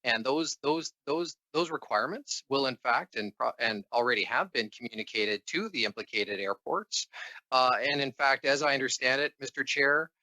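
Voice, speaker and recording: Male civil servant at a hearing; bright, close dry sound, careful and deferential, hesitant repeated phrasing. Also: medium pitch, normal speed, no echo, low-quality recording. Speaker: assistant deputy minister, government official. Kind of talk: formal testimony to a committee.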